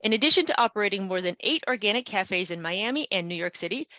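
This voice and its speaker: A woman's voice: mid-range voice, expressive, fast talker, pushed and bright, no room sound. A woman speaks as a podcast host, presenting and interviewing in a mid-range voice. The sound is close and dry, with a slightly dull, lo-fi edge to the recording. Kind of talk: scripted podcast introduction and interview questions.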